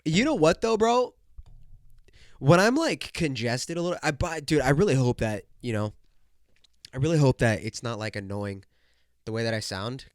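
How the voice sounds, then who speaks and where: A young male podcast host with a stuffed-up, nasal voice rattles on in a loud, jokey rant, throwing "bro" and "dude" into almost every line. His pitch sits right in the middle of the range. The recording is dry and close, with almost no room sound. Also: no echo. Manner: hyped, joking, full of "bro" and "dude". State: congested, nasal. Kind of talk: casual solo podcast rant.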